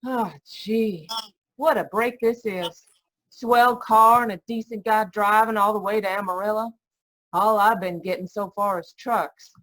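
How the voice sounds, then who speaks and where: A woman's voice: medium pitch, fairly even intonation, measured pace, fairly bright tone, lightly acted, rough recording. A woman playing a young, wisecracking hitchhiker in an old-time radio drama, casual, slangy and blunt. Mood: chatty. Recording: live video-call performance.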